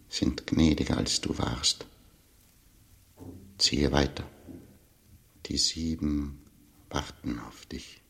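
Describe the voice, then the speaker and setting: Radio play casting: a stern dream judge, extremely low, very slow, solemn. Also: male voice, exaggerated voice.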